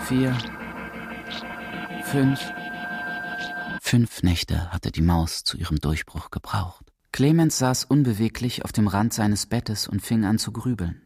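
A man narrates literary prose for a radio drama in a measured, deliberate, emphatic way. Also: on the low side. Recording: clearly noisy recording with clicks.

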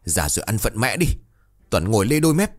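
A man's voice: fairly low pitch, sing-song, brisk. A man voicing a foul-mouthed, hot-tempered village man who barks coarse threats in an audio story. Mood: contemptuous rage. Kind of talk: dramatic audio-story dialogue.

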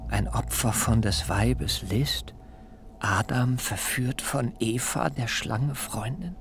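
An actor playing a fearful, self-excusing biblical fugitive who pleads in wordy, theatrical rhetoric in a literary radio drama. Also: male voice, medium pitch, slow pace, a little hiss or hum. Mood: mournful warning. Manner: theatrical, beseeching, rhetorical questions, hushed dips into whisper.

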